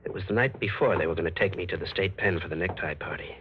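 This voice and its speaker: A man's voice: deep voice, fast, background noise, lightly acted. An American man acting a tough, wrongly accused ex-con who tells his story in terse slang, in 1940s radio style. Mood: grim, haunted. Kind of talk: 1940s radio acting, hardboiled first-person narration. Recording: muffled vintage radio recording with music behind.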